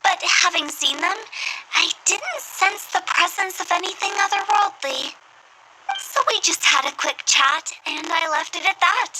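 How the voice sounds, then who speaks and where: A woman's voice: extremely high pitch, a little slow, pushed and bright, soft background music, full character voice, low-quality recording. A woman playing a cheeky, upbeat young female funeral parlor director in a video game, with theatrical salesperson patter. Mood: playful, explaining.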